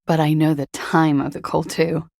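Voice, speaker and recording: An actress playing a weary, guarded young woman on the run in a video game, speaking in a hesitant, subdued, confessional way with a breathy, gravelly voice. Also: flat delivery, normal speed, a little mellow, no room sound, studio quality. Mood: weary, confessing. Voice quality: low, breathy, husky and gravelly. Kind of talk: video game character dialogue, intimate and understated.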